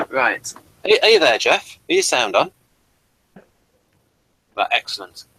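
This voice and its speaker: A man's voice: medium pitch, vocal fry, pushed and bright, slight background noise, rough recording. A man hosting a review show in casual, unscripted chat, rambling and stop-start as he thinks aloud.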